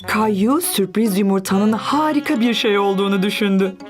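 A woman voicing a gentle, encouraging narrator and mother figure in a children's cartoon. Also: medium pitch, moderate pace, soft background music, close to an everyday voice.

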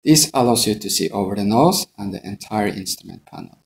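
Measured, gravelly male pilot narrator. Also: fairly low pitch, lively intonation. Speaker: airline pilot instructor. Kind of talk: scripted instructional narration.

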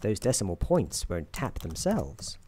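A soft-spoken woman narrating an ASMR story in a deliberate, wry storybook manner, with keyboard typing behind her. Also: extremely low pitch, big pitch swings. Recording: constant keyboard typing and clicking noise. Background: keyboard typing and tapping.

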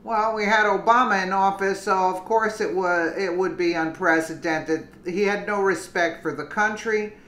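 A commentator reading a news article aloud with deliberate delivery and casual asides. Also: mid-range voice, a bit bright, echoey room.

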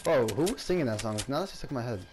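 A young man chatting excitedly with friends while playing a shooter game, swearing and bantering. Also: medium pitch, racing, noisy background. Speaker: gamer. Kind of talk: casual gaming chat with friends.

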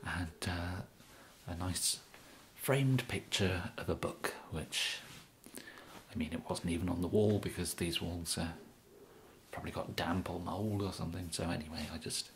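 Hushed, low English kidnapper, acted by a man. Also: a little hiss or hum. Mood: matter-of-fact. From England. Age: young adult. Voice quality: hushed, breathy whispering and soft speech. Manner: hesitant, halting, rambling. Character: bumbling, apologetic, awkwardly polite male kidnapper. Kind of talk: whispered ASMR roleplay. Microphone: close microphone.

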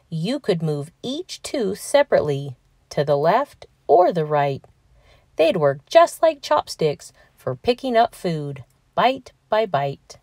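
A woman reading a children's picture book aloud in a deliberate, expressive storytelling manner for young listeners. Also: fairly low pitch, dry recording, studio quality. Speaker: children's read-aloud narrator.

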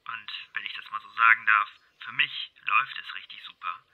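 A man's voice: on the low side, brisk, close to an everyday voice. A man playing a smug, cold swindler who taunts and threatens in an audio crime drama. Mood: smug, mocking. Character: cold, menacing swindler uncle threatening his sister-in-law. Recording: dull, noisy, clicky recording.

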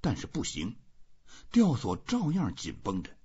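Male audiobook reader, slow pace with animated intonation, raspy, slightly muffled lo-fi sound. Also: mid-range voice. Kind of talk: scripted narration of a literary novel.

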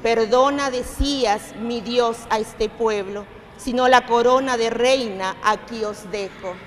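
A woman with a fairly high voice leads a devotional recitation of rhymed verses. She takes it slowly and solemnly, and her words ring out in a long hall reverb. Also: crowd noise. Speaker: prayer leader reciting verses.

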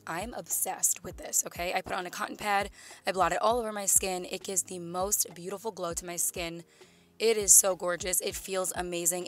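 Slightly raspy young woman gushing over skincare. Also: fairly low pitch, fairly bright tone. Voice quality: slightly raspy, losing her voice. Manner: gushing, enthusiastic product talk. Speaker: beauty vlogger. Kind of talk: voiceover for a skincare video. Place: home room, voice-over recorded separately.

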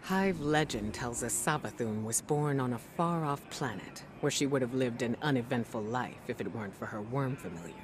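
A woman playing a resolute commander in a video game, briefing a soldier in an earnest, deliberate, urgent way. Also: rumbling low, noisy background. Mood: focused.

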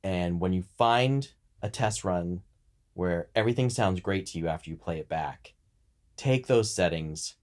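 Low-pitched guy on a podcast explaining stuff, chatty but teacherly. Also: darkish tone. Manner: conversational, instructional. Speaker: podcast co-host.

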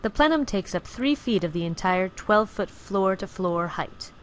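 Woman, tutorial narrator, steady instructional tone, mid-range voice, faint hiss behind. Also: medium pitch, moderate pace. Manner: clear, even instructional delivery. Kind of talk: scripted screencast narration.

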